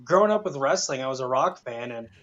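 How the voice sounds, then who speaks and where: A man's voice: mid-range voice, lively intonation, quick pace, fairly bright tone, dull audio. A man giving his opinions in casual, informal talk as a panelist. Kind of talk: casual movie opinion chat.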